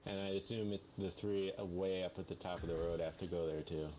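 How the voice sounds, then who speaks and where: Very deep male voice, dry wit, casual co-op banter, noisy recording. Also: fairly even intonation, brisk, mellow. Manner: dry, wry remarks. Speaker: co-player. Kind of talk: casual multiplayer gaming chat. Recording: noisy game capture.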